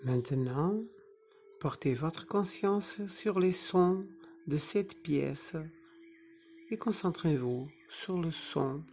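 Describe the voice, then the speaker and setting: Slow, mellow meditation guide. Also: medium pitch, expressive, a little hiss or hum. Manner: soothing, instructing in short phrases with pauses. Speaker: yoga nidra meditation teacher.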